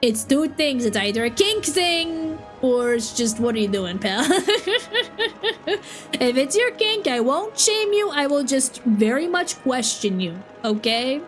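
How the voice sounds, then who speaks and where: A woman's voice: high voice, big pitch swings, unhurried, faint music behind. A sick, sniffly young woman rambling and giggling in casual livestream chat at home. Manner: rambling, giggly, self-interrupting. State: sick with a cold. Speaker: streamer.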